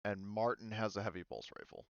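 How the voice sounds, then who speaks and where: A man running a tabletop roleplaying game as game master in casual talk, thinking aloud with many pauses. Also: on the low side, creaky, clear, resonant tone, dry recording, lo-fi sound.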